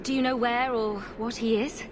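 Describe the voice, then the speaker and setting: An actress playing a resolute, civil young adventurer in a video game, speaking in a direct, questioning way. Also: female voice, a little high, steady tone, moderate pace, background noise, close to an everyday voice. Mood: determined. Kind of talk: dramatic video game acting.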